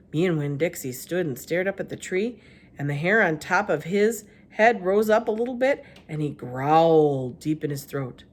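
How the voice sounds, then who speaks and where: Expressive woman reading a children's story. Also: fairly low pitch. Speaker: teacher reading aloud to children.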